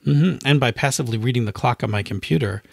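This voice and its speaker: A man's voice: medium pitch, fast, creaky, breathy edge, dry recording, studio quality. A man co-hosting a podcast with casual, jokey banter and frequent hesitation. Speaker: podcast co-host.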